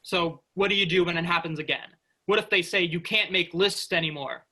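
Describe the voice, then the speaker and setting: A rebellious, cocky spaceship worker, acted by a man with a high, very bright and forceful voice. The manner is pressing and persuasive, always arguing against the robots, in a staged sci-fi play reading. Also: a little fast, exaggerated voice. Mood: skeptical, challenging.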